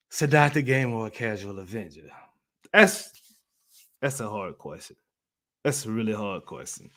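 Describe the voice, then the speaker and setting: A man's voice: mid-range voice, fairly bright tone. A man hosting a gaming channel livestream, casually chatting and answering viewers in a slangy, playful, rambling way. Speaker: YouTube host of a gaming community channel.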